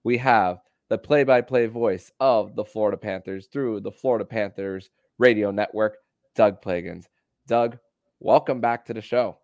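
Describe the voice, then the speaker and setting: A man hosting a sports podcast and interviewing, with somewhat halting, stop-start phrasing. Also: fairly low pitch, darkish tone, no room sound. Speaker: podcast host.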